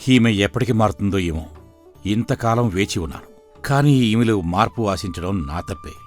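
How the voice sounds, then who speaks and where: A man playing a long-suffering, gentle and weary husband in an animated folktale, pleading and mournful. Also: lowish voice, normal speed, faint music behind, lightly acted. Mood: despairing.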